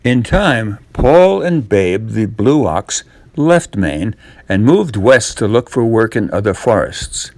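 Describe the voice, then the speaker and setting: An older man narrates a children's tall tale at an unhurried pace. His pitch swings expressively between deliberate pauses, and the sound is close and dry. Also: very animated, a little mellow, dry recording. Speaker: storyteller reading a tall tale.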